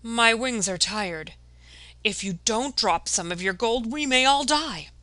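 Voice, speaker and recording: A woman's voice: a little high, lively intonation, unhurried, pushed and bright, no echo, voice pushed up. A woman voicing a big, weary, stern talking raven in a folktale, emphatic and scolding. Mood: weary, warning. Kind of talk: folktale storytelling.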